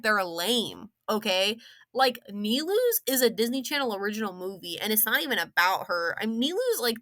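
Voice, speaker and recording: A young woman rambling casually through an opinionated game story review, slangy and sarcastic, with animated, exaggerated emphasis. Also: medium pitch.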